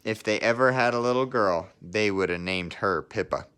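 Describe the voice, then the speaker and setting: A man's voice: fairly low pitch, normal speed, fairly bright tone, clear, resonant tone, lightly acted. A man voicing a plucky, earnest little helicopter character in a children's storybook read-aloud. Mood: pleased. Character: plucky little male helicopter, earnest, eager, good-humoured.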